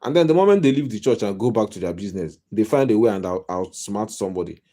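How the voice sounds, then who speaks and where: A man's voice: fairly low pitch, expressive, fast talker, mellow. A man with a Nigerian accent giving a casual live-stream monologue as a life coach, rambling and repeating himself with wry humor. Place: home.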